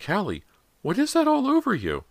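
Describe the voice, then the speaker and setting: A man's voice: high voice, wildly swooping pitch, moderate pace, gravelly, fairly bright tone, no echo, voice pushed up, exaggerated voice. A man voicing a frightened mother in an audiobook, shaken and urgent. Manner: trembling, urgent. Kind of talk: dramatized audiobook narration.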